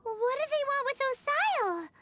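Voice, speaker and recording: Casting: tiny excitable game fairy, squeaky high pitch, lively swoops, music behind. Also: female voice, a little slow, heavily acted. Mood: inquisitive, uneasy. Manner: refers to self in third person, exclamatory. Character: tiny excitable childlike fairy companion, female. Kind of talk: video game character acting.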